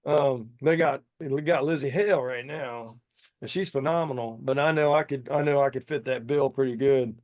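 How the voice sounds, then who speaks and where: A man's voice: mid-range voice, normal speed, dry recording, lo-fi sound. A man in his fifties, a singer and podcast guest, chatting casually and enthusiastically with lots of restarts. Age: 50s. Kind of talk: casual chat.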